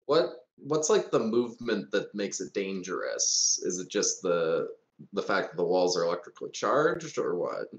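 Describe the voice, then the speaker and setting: A man's voice: deep voice, very animated, moderate pace, highs cut off. A man playing a tabletop roleplaying game, chatting casually and informally with questions. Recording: roomy, echoing voice pickup.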